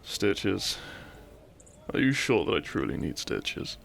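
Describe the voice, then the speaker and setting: Man acting a cheeky low-voiced bandit outlaw. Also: huge pitch swings, slow, creaky, a bit bright, exaggerated voice. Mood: pained, reassuring. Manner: teasing, gallant, dramatic. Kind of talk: audio roleplay acting, addressing the listener.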